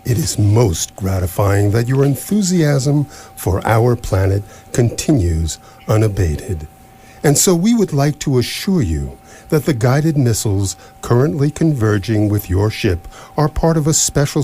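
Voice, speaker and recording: A man voices a coldly courteous recorded announcement in sci-fi radio theatre. The voice is low and formal, yet moves through lively, unctuous inflections. Faint hiss and noise sit in the live recording. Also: a little slow. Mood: polite menace. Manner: formal, smoothly courteous, officious. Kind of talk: comic science fiction radio theatre. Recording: noisy live stage recording.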